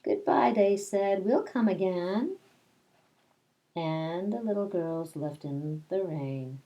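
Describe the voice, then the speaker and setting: A woman's voice: fairly low pitch, animated, slow, highs cut off. A woman teacher doing story time for kindergarteners, reading aloud and explaining things simply to young children. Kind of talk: picture-book read-aloud with casual asides to children.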